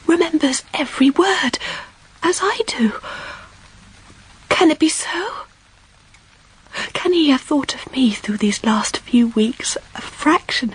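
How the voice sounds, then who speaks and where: Very breathy, yearning young governess. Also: female voice, high voice, full character voice, poor audio. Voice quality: breathy, hushed. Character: shy, yearning young governess thinking privately. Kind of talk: intimate inner-monologue narration in audio drama.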